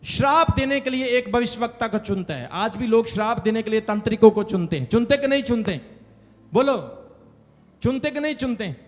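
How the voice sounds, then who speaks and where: A man with a Punjabi accent preaching an emphatic, repetitive call-and-response sermon to a congregation. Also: high-pitched. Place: large church hall, preaching from pulpit. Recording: echoey hall with long reverb.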